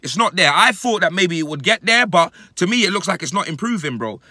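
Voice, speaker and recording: A man in his thirties with a London accent, giving an animated, emphatic fan rant to camera in heavy, repetitive street slang. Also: high voice, a little fast, no echo, clean studio sound. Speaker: football fan reacting to his club. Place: inside a parked car. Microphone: phone at arm's length.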